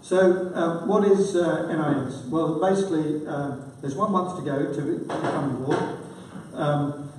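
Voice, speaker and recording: Croaky-voiced man presenting in a hall. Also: fairly high pitch, darkish tone, a little hiss or hum. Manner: informal, halting with fillers. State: croaky from a cold. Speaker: conference speaker, consultant. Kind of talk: conference presentation. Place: large conference hall. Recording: echoey hall, distant mic.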